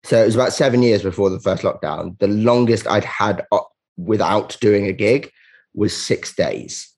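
A man's voice: fairly low pitch, moderate pace, a little mellow. A man, a comedian being interviewed, telling casual anecdotes in a candid, self-deprecating way. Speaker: stand-up comedian, interview guest.

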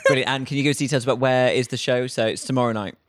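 A woman's voice: rumbling low, steady tone, very fast, background noise. A woman interviewing a guest in a casual, chatty radio style.

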